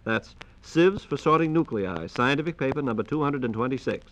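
A man's voice: medium pitch, lively intonation, fairly quick, fairly bright tone, slight background noise. An American man hosting a 1940s radio program with polite, formal, scripted announcing. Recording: dull, band-limited 1940s radio transcription disc.

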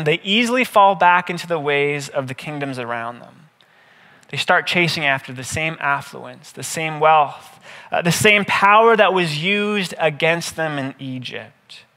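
A man in his thirties, a pastor giving a measured, scripted sermon with deliberate phrasing. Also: fairly high pitch, pushed and bright. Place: indoor stage, seated at a table. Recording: reverberant hall.

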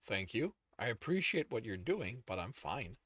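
A man's voice: medium pitch, big pitch swings, normal speed, fairly bright tone, husky, dry recording. A man reading as a stoic, emotionally numb young man who insists in a restrained, polite way. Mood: calm, resigned.

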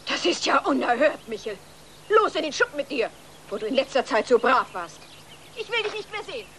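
A woman playing a strict, proper farm wife and mother in a German-dubbed children's film, speaking firmly and briskly. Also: high voice, very animated, background noise. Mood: indignant.

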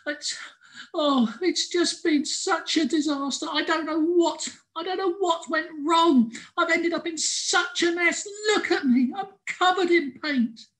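A man voicing a stubborn, proud male squirrel who gets tearful and whimpers in a high voice. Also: very animated, moderate pace, heavily acted, low-quality recording. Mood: whimpering.

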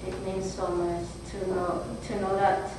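A woman reads her own short piece aloud at a podium, halting and doubling back over her words. She speaks slowly in a mid-range voice. The recording is distant and roomy, with steady hiss underneath. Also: mid-range voice. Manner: halting, repeats phrases, restarts. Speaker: adult learner reading her own piece. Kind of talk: reading a short heartfelt text aloud. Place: brick-walled hall with a seated audience. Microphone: podium mic from a far camera.

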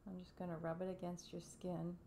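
A woman in her thirties speaking softly and gently in an ASMR personal-attention roleplay, close up in a room at home. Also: lowish voice, monotone, brisk, vocal fry, slight background noise. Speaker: ASMR host playing a barber giving a facial. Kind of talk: soft-spoken ASMR roleplay.

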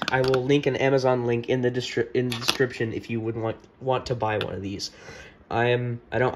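Male tutorial maker, mid-pitched, casual and hesitant, noticeable room noise behind him. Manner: hesitant, halting, repeats himself. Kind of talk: casual hands-on tech demonstration. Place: indoor room at a wooden desk.

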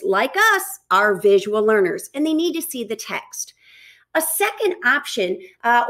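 High-voiced, animated woman teacher trainer. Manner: energetic, talks with her hands. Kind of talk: educational advice video. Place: office with wooden cabinets, indoors.

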